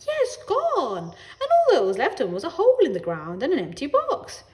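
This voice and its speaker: A woman's voice: high voice, wildly swooping pitch, normal speed, a little mellow, voice pushed up. A woman voicing a chatty, dramatic female next-door neighbour in a children's storybook, telling things emphatically and drawing out her words. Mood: amazed. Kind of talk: children's storybook character reading.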